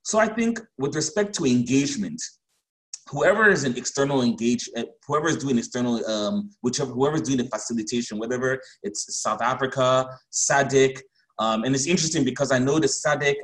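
A man from Cameroon, a researcher on a discussion panel, speaking in a measured way with frequent "you know" fillers. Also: mid-range voice, rough recording. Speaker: researcher on democratic transitions, panelist.

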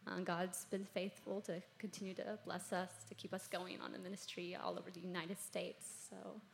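A shy, soft-spoken woman in a family band, speaking casually and nervously. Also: on the low side, level tone, moderate pace, creaky, slight background noise. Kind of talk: casual panel talk.

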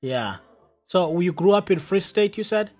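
A male radio host interviewing a guest, enthusiastic and halting, with many fillers and restarts. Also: high voice, lively intonation, darkish tone, soft background music.

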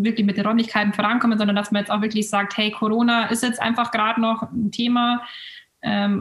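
Woman with a flat, even tone in an echoey room. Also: mid-range voice. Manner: steady, explaining plans with frequent fillers. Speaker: yoga studio co-founder. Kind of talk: casual interview talk. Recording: roomy echo.

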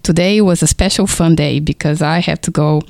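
A woman's voice: low voice, steady tone, moderate pace, vocal fry, darkish tone, no room sound. Brazilian-accented woman hosting radio. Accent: Brazilian Portuguese. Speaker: radio host and dog trainer. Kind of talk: community radio chat. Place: radio studio.

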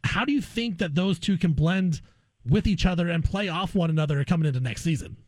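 A man's voice: on the high side, fairly quick, no room sound. A man hosting a casual sports talk interview, speaking in an opinionated way. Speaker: sports radio guest host and interviewer.

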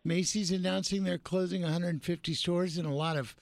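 A man's voice: high-pitched, a little fast, gravelly, no echo. A man hosting a radio talk show, chatting casually and telling jokey stories. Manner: lively storyteller, jokey. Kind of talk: casual chat.

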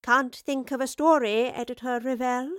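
A principled, fiery female professor from a storybook, acted by a woman narrator. She speaks in a fairly high, dry-recorded voice, articulate and emphatic. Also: expressive, fairly quick, sharp tone, no room sound. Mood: teasing. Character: principled, teasing female professor, fierce when angered.

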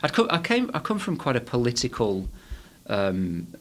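A man's voice: medium pitch, huge pitch swings, normal speed. Hesitant man with a Manchester accent. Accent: Manchester English. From England. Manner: hesitant, many restarts and fillers. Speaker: guest, head of a management body. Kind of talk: podcast interview.

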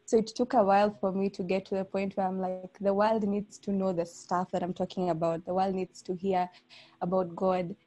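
Soft-toned Kenyan woman pastor. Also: medium pitch, level tone, moderate pace. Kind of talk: casual podcast chat.

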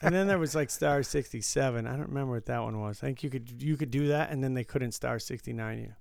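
A man with a mid-range voice and a lot of gravel in it riffs through a laid-back podcast chat. He tells stories and plays along with his friend's jokes. The recording is close and dry, with no room sound. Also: mid-range voice, quick pace, darkish tone, dry recording. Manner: storytelling, riffing on jokes. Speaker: podcast co-host. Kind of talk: casual joking chat. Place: his bedroom.

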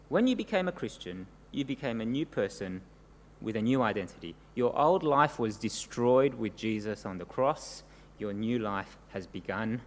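A middle-aged man, born in Korea, preaching an earnest sermon to a congregation and often ending points with tag questions. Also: mid-range voice. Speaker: pastor. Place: church service before a congregation. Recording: long church-hall reverb, faint noise.

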